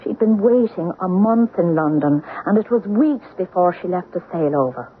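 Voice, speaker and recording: An actress playing an older Irish woman who gravely tells family secrets in deliberate, lilting radio-drama storytelling. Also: fairly low pitch, animated, close to an everyday voice. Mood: confiding. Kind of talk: old-time radio drama acting. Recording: tinny, noisy old radio broadcast.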